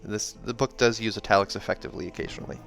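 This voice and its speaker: Expressive male book reader over faint music. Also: lowish voice, racing, gravelly. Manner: expressive, dramatic. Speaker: host reading a novel aloud. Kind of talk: dramatic audiobook narration with casual asides. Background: soundtrack music.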